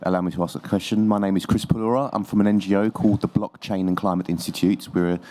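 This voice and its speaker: A man's voice: low-pitched, steady tone, quick pace, mellow. A man from an NGO asking a long, hesitant question at a conference session. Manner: long-winded, hesitant phrasing. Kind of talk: audience question. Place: conference pavilion.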